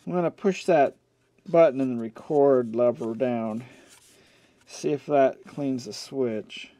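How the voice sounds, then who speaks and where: Unhurried man muttering through a repair. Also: mid-range voice. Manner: offhand, muttering to himself while working. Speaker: host of an electronics repair channel. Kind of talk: casual hands-on repair commentary. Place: indoor workbench. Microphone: camcorder mic near the workbench. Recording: steady background noise, clicks of handling.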